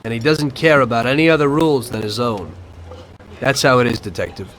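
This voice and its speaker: A man's voice: mid-range voice, fairly quick, a bit bright, a little hiss or hum, natural voice, poor audio. An actor playing a world-weary gangster in a video game, speaking of his life of crime in a reflective, matter-of-fact way. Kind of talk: video game cutscene storytelling.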